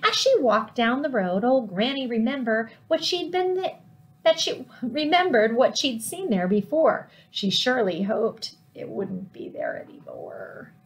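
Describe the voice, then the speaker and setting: Animated woman storyteller, high voice. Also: rough recording. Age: middle-aged. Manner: dramatic, drawn-out emphasis. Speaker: children's librarian reading aloud. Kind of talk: animated storybook read-aloud. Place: living room at home, indoors.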